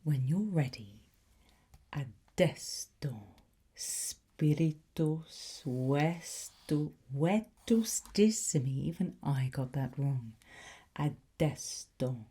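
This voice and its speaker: A woman doing a whispered ASMR teacher roleplay, softly and deliberately drawing out and enunciating her words. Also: low voice. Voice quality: breathy, soft whisper. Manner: slow, deliberate, drawn-out enunciation. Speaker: ASMR roleplay host as a teacher of demonic Latin incantations.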